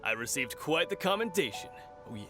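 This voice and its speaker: A man's voice: on the high side, very animated, fairly quick, over music. A man playing a boastful, swaggering martial arts fighter in a video game, sounding pleased with himself. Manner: swaggering, self-satisfied.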